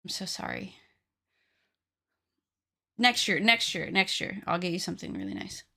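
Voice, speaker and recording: Woman, late twenties, streamer; bright tone with some fry; chatty, teasing, self-deprecating. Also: on the low side, big pitch swings, normal speed. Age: 20s. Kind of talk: casual live-stream chat while crafting.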